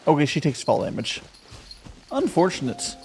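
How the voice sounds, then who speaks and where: Chatty streamer guy, gravelly voice, lively pitch, laughs a lot, game music faint behind. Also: moderate pace. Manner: chatty, giggly, rambling. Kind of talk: casual live stream chat.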